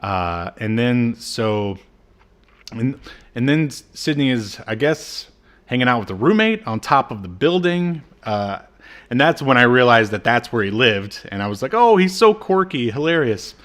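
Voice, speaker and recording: A man's voice: mid-range voice, very animated, a little slow, a bit bright. A man hosting a podcast, casually recapping an old TV show in a jokey, irreverent way. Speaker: podcast host.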